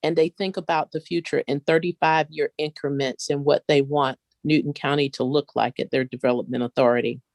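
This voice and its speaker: A woman board director giving earnest, polite, measured remarks in a virtual meeting. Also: low-pitched, steady tone, darkish tone, dry recording.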